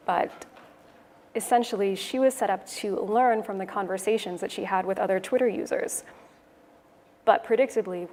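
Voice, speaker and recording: A woman from Toronto with a Canadian English accent gives a prepared conference talk in a clear, measured, explanatory way. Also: medium pitch, darkish tone. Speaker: conference speaker, web developer. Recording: echoey hall, noisy room ambience.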